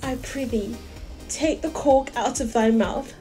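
A woman's voice: on the high side, unhurried, mellow, faint music behind, close to an everyday voice. A woman playing an eager, impatient, lovestruck young woman in a Shakespearean audio comedy.

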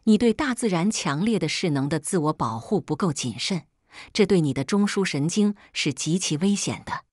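Woman narrator as caring temple mentor, solemn, admonishing, husky, dry close sound, audiobook. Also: lowish voice, lively intonation, moderate pace, darkish tone, dry recording. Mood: earnest warning. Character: grave, caring male temple high priest and mentor.

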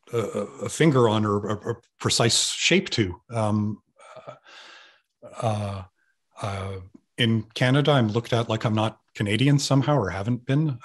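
Husky hesitant man on a video call. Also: on the low side. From Serbia. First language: Serbian. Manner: hesitant, many restarts and repeated words. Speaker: guest artist. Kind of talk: thoughtful conversational interview. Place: home room with sofa.

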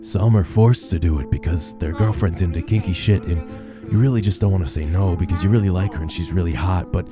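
A booming movie trailer announcer, as a young man puts him on, pitched very low and laid thick over music. The delivery is overblown and dramatic. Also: fairly quick, natural voice.

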